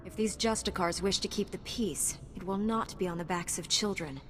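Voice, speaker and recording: A woman's voice: medium pitch, normal speed, fairly bright tone, natural voice. An actress playing a noble, composed female knight in a video game, formal and resolute. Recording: long reverb, clear background noise.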